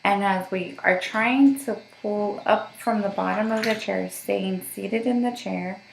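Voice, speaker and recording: A woman's voice: medium pitch, measured pace, gravelly, reverberant, a little hiss or hum, rough recording. A woman working as a voice therapist, giving patient step-by-step instructions for voice exercises and checking in often. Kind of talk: guided voice therapy session.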